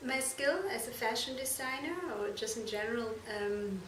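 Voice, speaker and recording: A woman from Germany, answering interview questions earnestly and haltingly, correcting herself as she goes. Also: a little high, a bit bright. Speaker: fashion and shoe designer being interviewed. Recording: echoey room, distant mic, noisy background.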